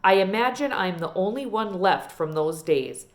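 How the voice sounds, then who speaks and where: Storybook role: woman as elderly small-town lady librarian, genteel, wistful, folksy, very animated delivery. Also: medium pitch, close to an everyday voice. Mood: wistful, sad. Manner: folksy, emphatic, drawn-out storytelling.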